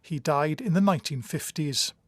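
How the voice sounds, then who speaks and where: A man's voice: a little high, moderate pace, no echo, studio quality. A man narrating a scripted news report as a reporter.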